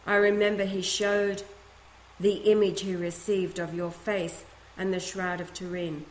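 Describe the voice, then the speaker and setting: A woman reading a first-person letter aloud in a steady, earnest way, with a few small restarts. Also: fairly low pitch, a little slow, slight background noise. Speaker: reader of a letter. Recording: echoey room, faint ambience.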